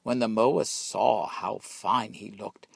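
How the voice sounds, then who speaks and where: A man narrating a children's storybook in an expressive, dramatic way. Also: lowish voice, takes it slowly, gravelly, breathy edge, no room sound. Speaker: storybook reader.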